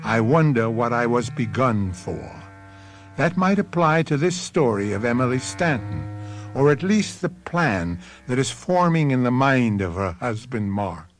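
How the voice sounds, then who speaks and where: A man's voice: mid-range voice, sing-song, unhurried. A man narrating a radio mystery as host, urbane, sardonic and theatrical in his delivery. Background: music.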